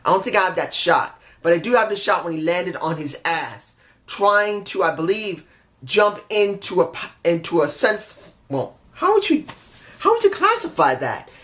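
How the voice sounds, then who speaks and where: A man's voice: sits high, very animated, moderate pace, fairly bright tone. A man with a head cold giving a casual solo review, rambling, correcting himself and grumbling in frustrated asides. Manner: rambling, keeps correcting himself and apologizing for mix-ups. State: stuffy head cold, under the weather. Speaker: wrestling show reviewer.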